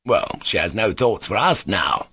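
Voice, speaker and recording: A man's voice: on the low side, big pitch swings, a little slow, pushed and bright, slightly rough, dry recording, full character voice. A man reading a novel aloud, voicing a spiteful young man with a venomous, jeering tone. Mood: grumbling.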